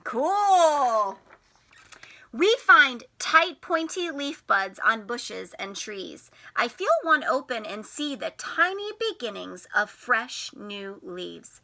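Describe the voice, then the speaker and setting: A woman teacher reading a picture book to young children in an expressive, sing-song way, putting questions to them. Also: on the high side, slow pace, vocal fry. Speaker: teacher and storytime host.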